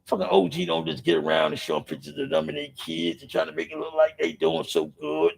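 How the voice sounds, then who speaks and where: A middle-aged man with a raspy voice, speaking in an impassioned, profane monologue on a podcast, filling his pauses with "man" and circling back through restarts. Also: a little high, lively intonation, rough recording. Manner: rambling with restarts. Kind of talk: impassioned street-life monologue.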